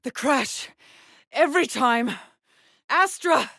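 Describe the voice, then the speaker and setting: Weary haunted lady astronaut for a video game, husky breathy low voice, grave and brooding. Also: expressive, dry recording, studio quality, full character voice. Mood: anguished. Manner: grave, deliberate, brooding delivery. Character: haunted, weary woman astronaut stranded alone on alien world. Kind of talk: dramatic game voice lines, monologue logs.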